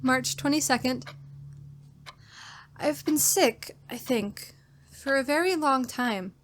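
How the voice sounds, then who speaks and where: Radio horror heroine acted by a woman: high, slow, theatrical, fearful and unhinged. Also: a bit bright. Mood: frail, brooding. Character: fearful, unhinged woman diarist who killed her brother-in-law. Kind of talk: old-time radio horror drama acting.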